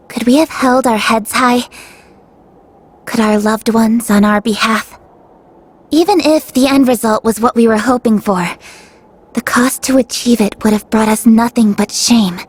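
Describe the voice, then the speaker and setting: Composed, higher-voiced game heroine. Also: female voice, slightly rough. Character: composed, principled young heroine. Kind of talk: video game voice acting.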